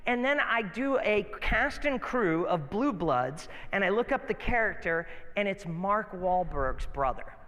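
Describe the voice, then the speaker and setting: A man's voice: high-pitched, big pitch swings, unhurried, hardly any pauses, bright, forceful tone, highs cut off. A man with an American accent preaching conversationally, mixing Bible readings with informal stories and rhetorical questions. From northeastern United States. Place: large reverberant hall, live sermon. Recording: long hall reverb.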